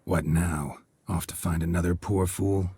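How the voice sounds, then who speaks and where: An actor playing a world-weary male monster hunter in a video game, speaking in a terse, dry, flat way. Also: low voice, unhurried, a little hiss or hum. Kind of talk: video game cutscene dialogue.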